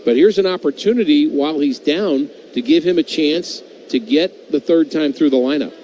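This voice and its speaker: A man gives color analysis on a baseball broadcast. His voice is warm and a little high, and he talks through strategy at an easy, thinking pace. Light noise hangs in the background. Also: moderate pace, low-quality recording. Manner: thoughtful, speculative analysis. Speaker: color commentator.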